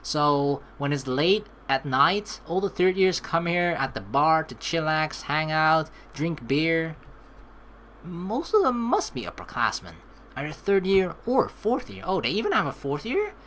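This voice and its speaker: A woman's voice: deep voice, big pitch swings, measured pace, fairly bright tone, slight background noise. A young woman playing through a game, reading its text aloud expressively and adding playful, casual commentary. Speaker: let's play host. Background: game music.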